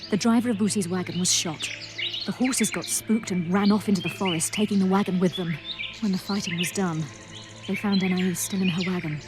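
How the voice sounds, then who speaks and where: A woman's voice: lowish voice, fairly even intonation, brisk, lightly acted. A woman playing a guarded, shaken survivor in a fantasy video game, speaking earnestly and somewhat formally. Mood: grim recollection. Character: guarded, shaken female survivor and protector of royal children. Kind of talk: dramatic video game acting. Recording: music behind the voice.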